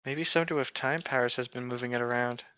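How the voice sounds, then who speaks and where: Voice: medium pitch, quick pace, pushed and bright, smooth, no room sound, lo-fi sound. A co-host reading fan fiction aloud and chatting casually and jokingly about it.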